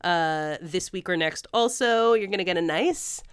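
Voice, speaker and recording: A woman podcast co-host chatting casually and enthusiastically in a clear, smooth voice. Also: fairly low pitch, normal speed, no echo, clean studio sound. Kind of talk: casual banter between podcast co-hosts.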